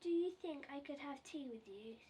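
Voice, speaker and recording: A woman's voice: high voice, lively intonation, moderate pace, mellow. A woman voicing a big, hungry, courteous male tiger from a children's storybook, recorded at a distance. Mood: polite. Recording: distant mic with faint background noise.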